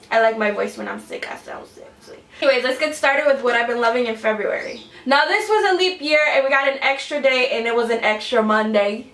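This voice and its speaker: A woman's voice: sits high, fairly bright tone. A young woman in her twenties chatting casually about makeup as a beauty vlogger, rambling with lots of fillers and sounding a bit congested from a cold. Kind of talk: casual chat to camera. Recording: roomy echo, mic a bit distant.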